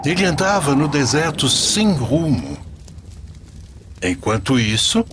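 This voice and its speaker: A man narrating a scripted religious story as a storyteller, in an expressive, deliberate way. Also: mid-range voice, slight background noise.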